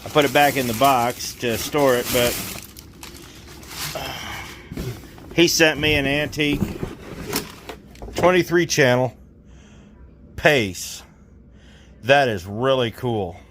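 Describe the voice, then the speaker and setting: A man's voice: mid-range voice, slow, long pauses, background noise. A man hosting a hands-on repair video in a casual, folksy, jokey way with colloquial wording. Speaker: host of a CB radio repair video.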